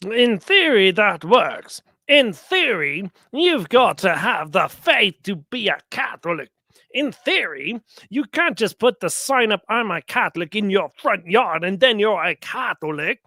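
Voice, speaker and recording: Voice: mid-range voice, sing-song, measured pace, pushed and bright, no room sound. A commentator giving sarcastic religious reactions to clips, mocking and often acting out imagined exchanges.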